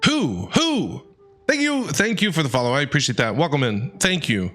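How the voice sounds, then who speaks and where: Lively, lowish-voiced woman streamer. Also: moderate pace, faint music behind. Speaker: game streamer. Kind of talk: casual live reaction commentary.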